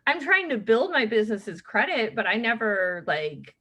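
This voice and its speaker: A woman in her late thirties hosting a podcast, talking in a chatty, animated way with many fillers. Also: fairly high pitch, moderate pace. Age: late 30s. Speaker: podcast host. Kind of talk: casual chat.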